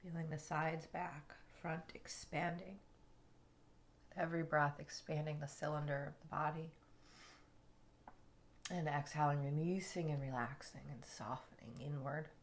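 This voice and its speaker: A woman leading a guided relaxation meditation in a soothing, unhurried way, with soft, breathy phrase endings. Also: sits low, fairly even intonation, slight background noise. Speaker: yoga nidra meditation guide.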